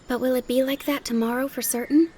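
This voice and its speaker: A woman voicing an excitable high-pitched little girl. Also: fast talker, slight background noise, lightly acted. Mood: eager, curious. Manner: breathless exclamations and eager repeated questions. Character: excitable, curious, tender little girl in mountain story.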